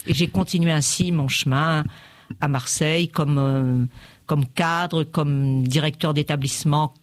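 Older nun giving a steady account. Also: female voice, low-pitched, unhurried. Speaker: nun, former school director, interviewee. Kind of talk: factual life account.

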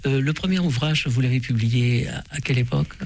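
A man hosting a radio interview, introducing his guest in a measured way with frequent pauses to fill. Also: mid-range voice, darkish tone. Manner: measured, frequent euh fillers. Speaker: radio host.

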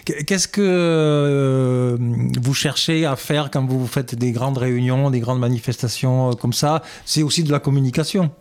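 A man leading a relaxed interview, prompting his guest with friendly questions. His voice sits at an ordinary pitch for a man. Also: normal speed, few pauses. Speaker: interviewer host. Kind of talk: casual interview conversation.

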